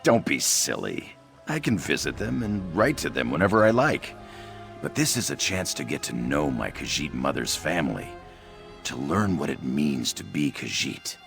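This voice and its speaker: A man voicing an earnest, shy young half-orc, half-cat-folk in a video game, with a gravelly voice and polite manner. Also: a bass voice, very animated, moderate pace, a bit bright, faint music behind, exaggerated voice. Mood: bashful, wistful. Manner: earnest, self-effacing, polite.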